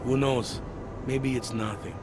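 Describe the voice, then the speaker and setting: A man's voice: medium pitch, steady tone, unhurried, over music, close to an everyday voice. A man acting a world-weary, middle-aged police detective in a video game, with a hesitant, wry, conversational delivery. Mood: pensive, hesitant. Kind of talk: video game cutscene dialogue.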